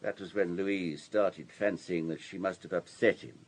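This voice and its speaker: A man's voice: sits low, fairly even intonation, moderate pace, slight background noise, close to an everyday voice. An actor in a radio drama playing an urbane, wealthy businessman with a polished, courteous, storytelling manner. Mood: confiding, measured. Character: urbane, wealthy older businessman, discreet and self-assured.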